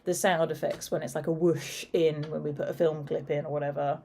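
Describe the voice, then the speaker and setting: A woman with a fairly deep voice, co-hosting a casual chat in a steady, fairly even manner. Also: a little fast. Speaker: co-host.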